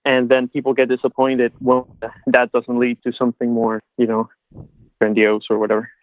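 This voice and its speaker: Male interview guest on a thin phone-like connection, monotone, casual, hesitant phrasing. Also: mid-range voice, normal speed. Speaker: podcast guest. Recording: muffled phone-like line.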